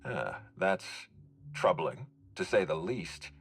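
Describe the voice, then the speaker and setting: A guarded executive in a video game drama. His voice is very low, with lively rises and drops in pitch. He speaks formally and courteously, with a dry, stern edge and measured phrasing. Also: vocal fry, breathy edge, heavily acted. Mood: measured concern. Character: formal, guarded male executive overseeing hotel and orchards.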